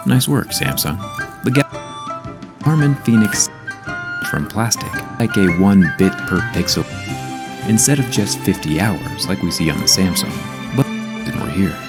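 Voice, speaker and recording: A man's voice: low-pitched, lively intonation, unhurried, long pauses. A man narrating a scripted tech review in an upbeat, explanatory way, with background music playing. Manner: upbeat, explanatory, product-testing tone.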